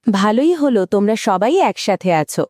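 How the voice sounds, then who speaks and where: A woman playing a kind, caring schoolteacher in a cartoon, gentle and earnest in manner. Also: medium pitch, lively intonation, fairly quick, no echo, clean studio sound, lightly acted. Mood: kind, concerned. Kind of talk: cartoon voice acting.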